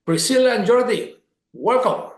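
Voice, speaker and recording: A man's voice: very high-pitched, very animated, moderate pace, roomy sound. A man from Colombia hosting a webinar over a video call, talking with enthusiasm and stressing points by repeating words. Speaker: webinar host.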